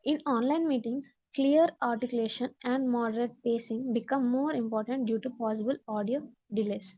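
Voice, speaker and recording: A woman's voice: on the high side, steady tone, very slow, dull audio. A woman with a Telugu accent giving a lesson as an instructor, reading out points and then explaining them with repetition. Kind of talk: slide-based lesson, explaining in Telugu and English.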